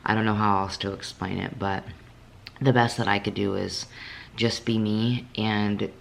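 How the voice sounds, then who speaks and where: A young woman with a raspy voice, just woken up, talking candidly in a casual vlog from her apartment, with many fillers. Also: rumbling low, level tone, a little slow, slight background noise. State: just woke up. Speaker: fitness vlogger.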